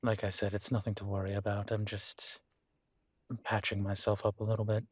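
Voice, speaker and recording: A man acting as a composed, courteous college professor in an intimate audio roleplay, speaking with measured, formal politeness and dry wit. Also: on the low side, completely flat delivery, husky, no room sound. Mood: embarrassed, apologetic. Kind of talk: intimate scripted one-sided roleplay dialogue.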